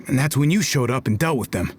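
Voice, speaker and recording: A man's voice: mid-range voice, lively intonation, fast talker, a little hiss or hum, natural voice. An actor playing an earnest, weary male patrol guard in a video game, a little flustered. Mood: relieved, earnest. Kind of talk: video game dialogue acting.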